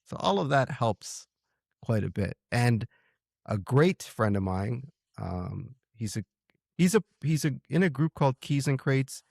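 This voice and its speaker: A man's voice: medium pitch, big pitch swings, unhurried, gravelly, a little mellow, no room sound, clean studio sound. A Canadian man hosting a podcast interview, friendly and appreciative in casual chat. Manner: friendly, appreciative, hesitant restarts. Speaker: podcast host.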